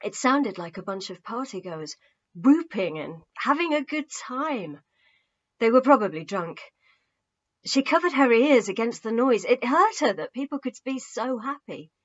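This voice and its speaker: A woman reading her novel aloud as an expressive, measured audiobook narrator. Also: on the high side, lo-fi sound. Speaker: author reading own novel.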